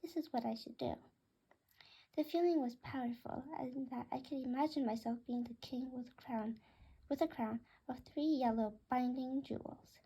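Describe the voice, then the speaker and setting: A woman narrates a storybook aloud in a high voice with plenty of creak. Her tone is soft and mellow, with a husky, breathy edge. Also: moderate pace, low-quality recording. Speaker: author reading own book aloud. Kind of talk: scripted storybook narration in first person.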